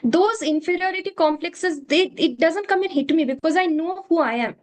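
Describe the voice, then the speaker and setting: A woman's voice: very high-pitched, normal speed. A woman from South India with an Indian accent, a native Hindi speaker, answering interview questions earnestly and often restating her points. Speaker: curriculum developer, interview guest.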